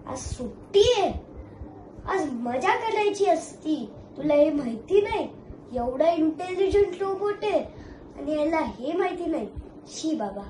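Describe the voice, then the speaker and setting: Little kid doing a stage play bit, high voice, echoey room, bit of noise. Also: darkish tone. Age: child. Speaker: competitor in a one-act play contest. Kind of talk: amateur theatrical monologue, addressing audience.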